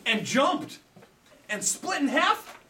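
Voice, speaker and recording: Animated storyteller man in an echoey room. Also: extremely high pitch, slow pace. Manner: animated, prompts children to repeat lines. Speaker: puppet theater storyteller and director. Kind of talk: live storytelling to children. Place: echoey room at a live children's show. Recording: echoey room, distant mic, faint noise.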